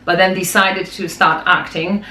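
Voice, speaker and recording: Lower-voiced young woman. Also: level tone, unhurried, sharp tone. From West Africa and Switzerland. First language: French. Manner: hesitant, many ums, laughs easily. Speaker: actress, interview guest. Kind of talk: casual interview. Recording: echoey room, faint background noise.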